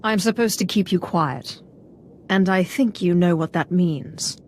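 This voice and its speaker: An actress playing a cold, ruthless Sith apprentice in a video game, haughty and curt. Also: female voice, fairly low pitch, a little slow, darkish tone, slight background noise, natural voice. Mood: threatening.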